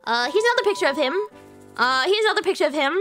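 Woman, twenties, high-pitched, bright, playful, attention-seeking, faint music. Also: animated, rapid-fire. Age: 20s. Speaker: streamer. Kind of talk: casual debut stream self-introduction.

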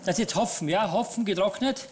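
A middle-aged man, a chef host, calmly explaining cooking steps in a TV studio kitchen. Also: high-pitched, fast talker. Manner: instructive, relaxed. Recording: studio with clear background noise.

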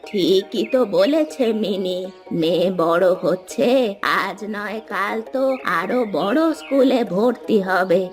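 A woman voicing a wise, gentle old village grandmother in a cartoon, giving advice in a measured, authoritative manner. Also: medium pitch, expressive, soft background music. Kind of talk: animated cartoon storytelling dialogue.

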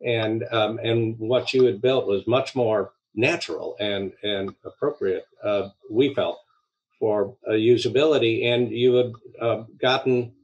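An older man hosts a conversational interview over a video call, pausing often as he phrases things. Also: on the low side, steady tone, a little mellow, dull audio. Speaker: podcast host. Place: indoor home room, video call. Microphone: headset on a video call.

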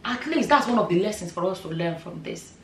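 A woman in her thirties with a Nigerian accent, giving animated opinion commentary straight to camera, full of rhetorical questions. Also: on the low side, brisk, slight background noise. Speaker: vlogger. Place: bright room indoors, seated in chair. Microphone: far camera. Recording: roomy echo, mic at a distance.

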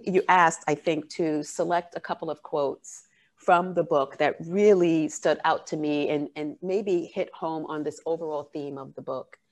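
A woman giving an academic keynote talk over a video call, earnest and measured, pausing often with fillers. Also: low-pitched. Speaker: keynote speaker.

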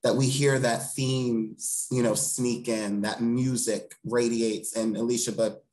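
A man's voice: medium pitch, little pitch movement, measured pace, darkish tone, low-quality recording. A man in his thirties speaking earnestly and eloquently as an interview guest on a video call from a room at home. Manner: earnest, eloquent, emphatic repeated phrasing. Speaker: theatre director, interview guest. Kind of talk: thoughtful interview talk.

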